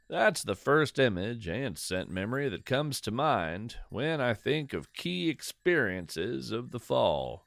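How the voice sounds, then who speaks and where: Unhurried male storyteller with expressive, varied intonation. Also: medium pitch, no echo. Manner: measured, musing, conversational asides; literary, descriptive phrasing. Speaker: podcast host and storyteller. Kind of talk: scripted first-person narration of cozy folklore fiction.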